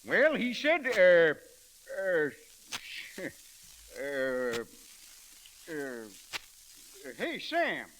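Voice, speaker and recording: An actor playing a folksy, blustering but good-hearted rancher with an American Western accent, stammering excitedly in old radio drama style. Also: male voice, sits high, drawn-out words, long pauses, background noise, full character voice. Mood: fumbling. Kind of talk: 1930s radio acting.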